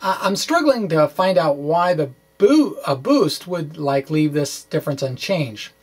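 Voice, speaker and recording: A man explaining physics in an informal walkthrough, thinking aloud in a halting way and correcting himself, with wry asides. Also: on the high side, animated, slightly rough. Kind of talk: informal math walkthrough, reading and ad-libbing.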